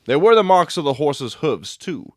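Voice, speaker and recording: A man's voice: on the high side, sing-song, moderate pace, no echo, studio quality. A man playing a brilliant, proud detective in a dramatic audiobook reading, lecturing in a precise, self-satisfied, matter-of-fact way. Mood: explaining, confident.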